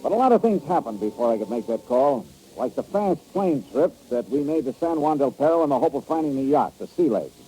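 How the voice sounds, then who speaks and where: An actor playing a tough, wry insurance investigator in a 1950s radio detective drama, blunt and sardonic in delivery. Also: male voice, fairly high pitch, warm tone, close to an everyday voice, poor audio. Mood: brisk, businesslike.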